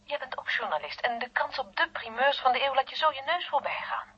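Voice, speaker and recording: Anxious woman, husky and subdued, heard through a dull telephone filter in a vintage radio serial. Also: high voice, quick pace. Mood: resigned, warm. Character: worried, loyal, discreet sister-in-law of a journalist. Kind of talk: 1970 Dutch science-fiction radio drama acting. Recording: muffled, band-limited telephone line.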